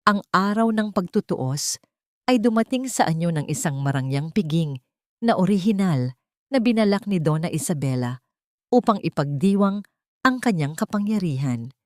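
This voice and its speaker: A woman reading a scripted dramatic story as an audiobook narrator, in a measured, solemn storytelling manner. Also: lowish voice, animated, no room sound, studio quality.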